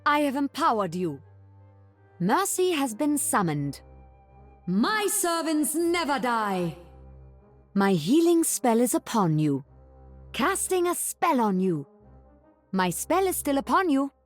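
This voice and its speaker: A woman's voice: high voice, sing-song, slow pace, pauses often, faint music behind, heavily acted. A woman voicing a sly, commanding witch in a video game, theatrical and sinister in manner. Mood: triumphant, wicked. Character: sly, commanding witch who bargains and casts spells. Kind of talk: video game character voice lines.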